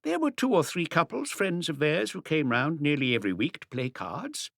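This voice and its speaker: A man's voice: a little high, very animated, normal speed, vocal fry, fairly bright tone, no echo, studio quality, pitched above the performer's own voice, heavily acted. A man voicing a chatty elderly bird seller in an audiobook, folksy, gossipy and wistful as he reminisces. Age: older. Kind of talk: audiobook character dialogue.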